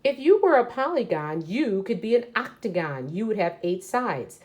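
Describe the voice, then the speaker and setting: A woman's voice: mid-range voice, big pitch swings, moderate pace, a little mellow. A woman teacher reading aloud to children and explaining as she goes, often asking questions and answering them herself. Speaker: elementary school teacher. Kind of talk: read-aloud lesson for children.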